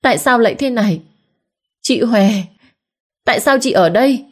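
A woman's voice: on the high side, lively intonation, moderate pace, voice pushed up. A woman voicing a pregnant young wife who wails theatrically and complains shrilly. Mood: hysterical.

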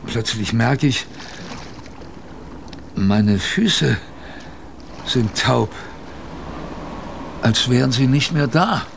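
A man's voice: medium pitch, expressive, drawn-out words, vocal fry, pauses often, noisy background, heavily acted. An actor playing a weary polar expedition sailor who narrates his ordeal dramatically in a radio drama. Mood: weary, grim.

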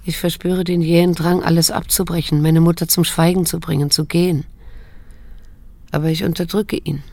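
An actress playing a restrained, wounded grown daughter in a radio drama, measured and introspective, with a creaky voice. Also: female voice, fairly low pitch, level tone, mellow, dry recording. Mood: reflective, sorrowful. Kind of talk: radio drama monologue and dialogue.

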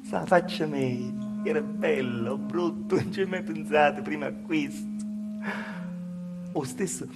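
A male stage actor with a Calabrian accent playing a simple, honest village woman in an intimate dialect monologue, confiding and halting, with repeated phrases. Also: fairly high pitch, lightly acted. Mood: plain, wistful. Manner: confiding, halting, repetitive storytelling. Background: live music.

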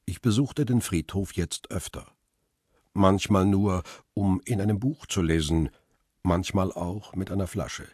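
Deep, world-weary brooding male narrator. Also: unhurried, darkish tone, slightly rough, no echo, clean studio sound. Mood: melancholy, reflective. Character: world-weary male photographer, lonely widower, melancholy. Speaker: first-person narrator and lead. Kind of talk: radio drama narration and dialogue.

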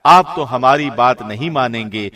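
A man's voice: mid-range voice, expressive, pushed and bright. A man reading a scripted translation of scripture as a professional radio presenter. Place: studio.

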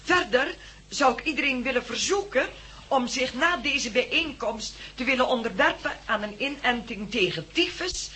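Dutiful nurse, Dutch, animated intonation, bright, polite and precise, vintage radio drama. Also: female voice, high-pitched, normal speed. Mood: earnest, dutiful. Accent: Dutch, Netherlands. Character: earnest, dutiful female nurse instructing survivors. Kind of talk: 1960s radio drama acting, formal address.

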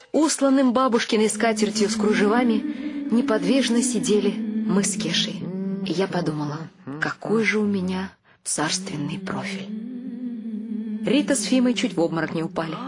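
A woman's voice: medium pitch, moderate pace, over a noisy crowd, close to an everyday voice. An actress playing a wry woman narrator who tells her eccentric family's story in a radio play. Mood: wry, dreamy.

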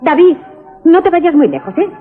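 A woman's voice: very high voice, moderate pace, soft background music. An actress playing an affectionate but cautious, mildly scolding mother with a Castilian accent in a Spanish radio drama. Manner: expressive, motherly. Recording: thin phone-like 1980s radio recording.

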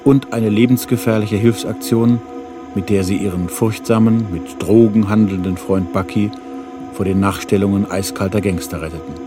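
A man's voice: fairly low pitch, natural voice. A man playing the sober announcer of a radio crime serial, reading a scripted recap. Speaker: announcer and narrator. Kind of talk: scripted radio drama narration. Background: prominent music.

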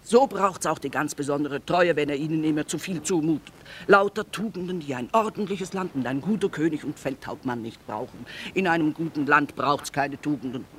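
A woman's voice: deep voice, brisk, a bit bright. An actress playing a shrewd middle-aged market trader and mother, sardonic and earthy, in radio drama style. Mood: sardonic lecturing. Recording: noisy old radio play recording.

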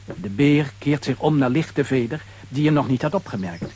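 A man narrating a children's radio play as a dramatic, animated storyteller. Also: mid-range voice, moderate pace, very noisy.

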